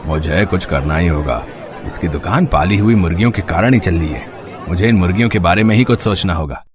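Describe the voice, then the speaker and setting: A man's voice: low-pitched, fairly quick, crowd noise, natural voice. A man voicing a jealous, scheming rival shopkeeper in a storybook tale, mocking and exaggerated.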